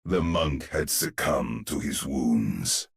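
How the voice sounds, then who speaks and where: A man playing a grave storyteller who narrates a dark Halloween tale for a video game in an ominous, theatrical manner with a husky voice. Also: very deep voice, animated, slow pace, exaggerated voice. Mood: ominous, dramatic.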